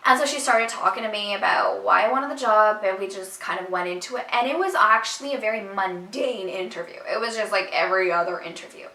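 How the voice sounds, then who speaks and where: A young woman telling her own story to her viewers in a casual, animated and emphatic way. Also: mid-range voice, echoey room, far from the mic, rough recording. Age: young adult. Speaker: vlogger.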